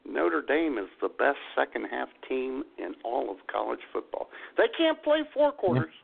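A man's voice: mid-range voice, sing-song, moderate pace, vocal fry, slightly rough. A man co-hosting a radio show with casual, bantering reactions to sports talk. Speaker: co-host of a comedy radio show. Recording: tinny phone-like narrow band.

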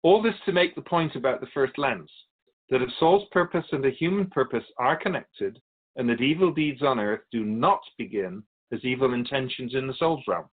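A man playing an otherworldly keeper of souls in a radio drama, speaking in a measured, formal, philosophical way with patient wisdom. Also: mid-range voice, lively intonation, lightly acted. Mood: solemn, explanatory. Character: otherworldly male keeper of souls, wise, patient, solemn messenger. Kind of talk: radio drama interview acting. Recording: dull lo-fi audio.

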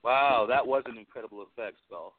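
A man's voice: mid-range voice, fairly quick. A man talking casually as a special effects artist being interviewed. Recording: tinny phone line.

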